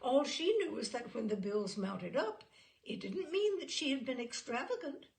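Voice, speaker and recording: A woman reading literary stories aloud as a storyteller, with expressive, theatrical phrasing. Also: sits high, normal speed, creaky. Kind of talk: literary storytelling with informal introduction.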